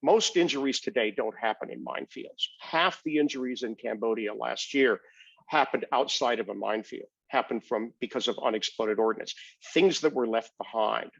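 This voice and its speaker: An older man with an American accent gives a prepared personal account in a measured, explanatory way, as a guest speaker. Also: mid-range voice, gravelly, fairly bright tone, breathy edge, dry recording, muffled recording. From United States. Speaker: humanitarian aid worker, guest speaker.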